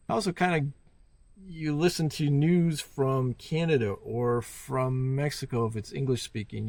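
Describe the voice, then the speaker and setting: A man's voice: medium pitch, creaky, darkish tone. A man giving a casual, hands-on product review in a plain-spoken, rambling way.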